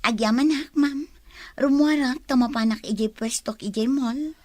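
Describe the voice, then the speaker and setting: A woman's voice: high-pitched, moderate pace, a little mellow, no echo, close to an everyday voice. An actress playing a sly young woman who schemes behind a polite front, with a Filipino accent, in a radio drama. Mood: polite, innocent. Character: sly young schemer, polite to madam, brazen among friends.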